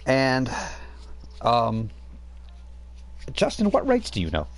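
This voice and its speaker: A man narrating as game master, relaxed and halting, with frequent fillers. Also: medium pitch.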